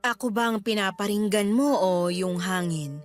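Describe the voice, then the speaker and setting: A woman's voice: mid-range voice, normal speed, soft background music, lightly acted. A woman playing a long-suffering middle-aged girlfriend in a Tagalog radio drama, answering with dry retorts. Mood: restrained, apologetic.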